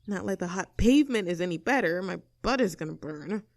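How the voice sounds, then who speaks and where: A woman's voice: on the low side, lively intonation, brisk, dry recording, clean studio sound. A woman playing a snooty, abrasive young mean girl in an audio roleplay drama, sarcastic and indignant as she talks straight at the listener. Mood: indignant, whiny.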